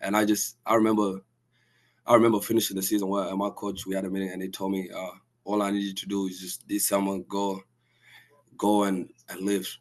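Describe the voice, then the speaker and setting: A young man from Sudan speaks in a very low, level voice. He answers softly in a casual interview and restarts often, searching for the words. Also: flat delivery. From South Sudan. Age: young adult. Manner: soft-spoken, halting, with many restarts and fillers. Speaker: college basketball player, guest.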